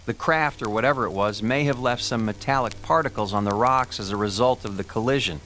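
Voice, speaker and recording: An actor playing a calm, wry expedition leader who hunts UFO evidence, with measured, explanatory delivery in a radio drama. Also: male voice, mid-range voice, heavy background noise, natural voice. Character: calm, wry male UFO investigator leading field expeditions. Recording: loud music under the voice.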